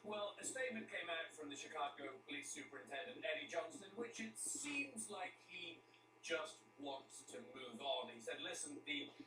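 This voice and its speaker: A man reporting live as a news correspondent in a measured broadcast tone. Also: a little high, very animated. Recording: distant, echoey, noisy replayed broadcast.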